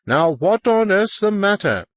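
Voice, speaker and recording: A man's voice: lowish voice, big pitch swings, a little slow, no room sound. A stuffy, self-satisfied man, played by a male narrator, brusque and condescending as he insists on being reasonable. His intonation moves a lot, in a dry, close audiobook sound. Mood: breezy, soothing.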